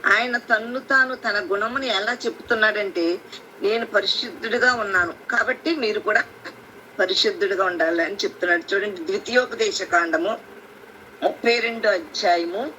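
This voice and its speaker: Woman preacher on a phone line, bright tone, explains slowly with many restarts. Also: medium pitch. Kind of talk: Bible teaching on a prayer call. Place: conference call. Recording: phone line with faint hiss.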